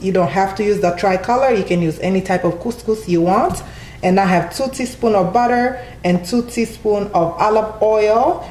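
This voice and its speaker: A woman's voice: mid-range voice, darkish tone, slight background noise. Casual woman host, friendly tutorial voice-over, a bit of room echo. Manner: friendly, chatty, addresses viewers as guys. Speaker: cooking channel host. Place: home kitchen.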